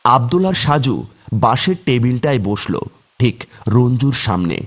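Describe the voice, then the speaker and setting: Animated male horror story narrator. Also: mid-range voice, normal speed.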